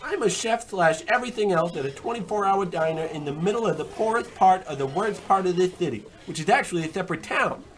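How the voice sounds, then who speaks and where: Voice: mid-range voice, expressive, fast, hardly any pauses, natural voice. A performer playing a grouchy, put-upon all-night diner cook in a comic radio play, brusque and world-weary. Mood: gruff, threatening. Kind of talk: comic radio play acting in old radio show vein.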